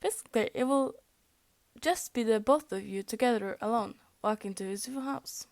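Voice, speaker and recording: A woman's voice: fairly high pitch, moderate pace, gravelly, darkish tone, no room sound. A young woman whose first language is Norwegian, reading fan fiction aloud, often tripping over words and laughing at her slips. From Norway. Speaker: fanfic reader.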